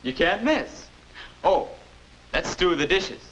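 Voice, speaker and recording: A man's voice: high voice, sing-song, slow pace, fairly bright tone, exaggerated voice. An American actor in his twenties playing a patient, encouraging older self instructing a boy in 1950s educational film style. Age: 20s. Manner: instructive, encouraging, neatly spoken. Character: patient, upbeat 21-year-old older self mentoring a boy. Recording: noisy old 1950s film soundtrack.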